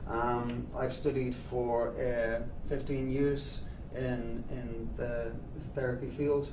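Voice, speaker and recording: A man's voice: medium pitch, little pitch movement, slow, lightly acted. An actor playing an earnest male therapist who leads an anger-management group and talks with many hesitant fillers. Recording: echoey room, distant mic, noisy.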